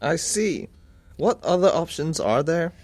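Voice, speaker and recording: A man's voice: on the high side, very animated, unhurried, a little mellow, no room sound. A man acting a polite, concerned uncle who asks questions in a scripted listening-test dialogue. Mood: polite, curious. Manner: polite, inquiring. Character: polite concerned uncle seeking advice for his niece.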